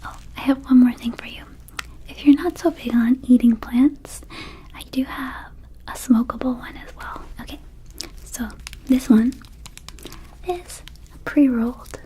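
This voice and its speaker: A woman voicing a small, laid-back, slightly shady vampire dispensary keeper in an ASMR roleplay, whispering softly in a rambling, casual sales patter. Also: high voice, unhurried, pauses often, poor audio. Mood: laid-back, reassuring. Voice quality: soft, breathy whisper.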